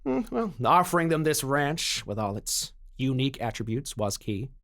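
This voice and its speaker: Male actor for a persuasive corporate dealmaker: salesman-like, confident, very lively intonation, mid pitch, audio drama. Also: normal speed. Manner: smooth-talking, salesman-like. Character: slick, confident mining company banker, persuasive dealmaker.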